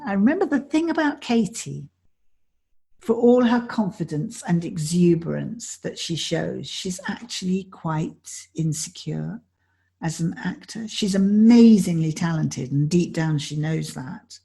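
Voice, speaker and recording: A woman being interviewed as an actor and director, chatting reflectively with a slightly husky voice. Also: lowish voice, lively intonation, a little slow, darkish tone.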